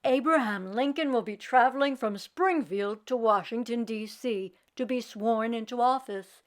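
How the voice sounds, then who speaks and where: Woman reading as proud papa, calm, steady, unhurried, children's story. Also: on the high side, lightly acted. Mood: announcing news. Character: calm, proud father of an 1860 family.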